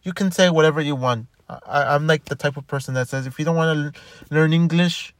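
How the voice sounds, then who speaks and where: A man with a slightly high voice rambles through a casual monologue, stumbling over his words and starting sentences again. The sound is dry and close, with no room echo. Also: quick pace. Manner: rambling, frequent restarts and repeated words. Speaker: commentator.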